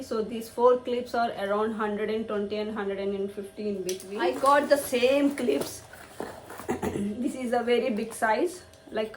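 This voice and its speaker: A young woman with a husky voice, feverish and clearing her throat, chatting casually as she shows off a shopping haul. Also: on the high side. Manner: chatty, repetitive, showing items to camera. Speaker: vlogger. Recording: echoey room, faint noise.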